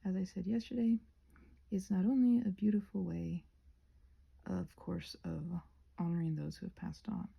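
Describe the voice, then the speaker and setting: Female ASMR rambler, husky with creaky fry, casual and self-mocking, breathy delivery that often sinks toward a whisper. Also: on the low side, steady tone, normal speed, pauses often, dull audio. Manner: self-mocking, playful asides to herself. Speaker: ASMR creator painting a craft while rambling.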